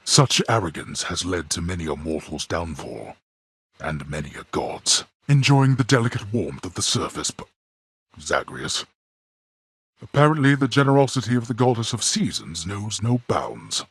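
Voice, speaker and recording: An actor playing a grim, imperious god of the dead and stern father in a video game, with a husky, gravelly voice and formal, archaic, menacing delivery. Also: low-pitched, sing-song, moderate pace, fairly bright tone, no echo, full character voice. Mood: cold disdain. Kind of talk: theatrical video game villain acting.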